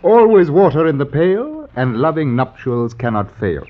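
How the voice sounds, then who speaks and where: An actor playing a sly, sentimental army officer uncle in an old-time radio drama, theatrical and solemnly singsong. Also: male voice, a little high, big pitch swings, normal speed. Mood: solemn, coaxing. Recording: muffled vintage radio recording.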